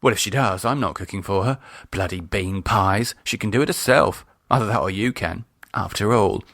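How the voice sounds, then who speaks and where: A man's voice: lowish voice, normal speed, creaky, a bit bright, dry recording, studio quality. A man voicing an exasperated middle-aged British wife and mother in an audiobook, colloquial, grumbling and sardonic, with a flirty streak. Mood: sarcastic.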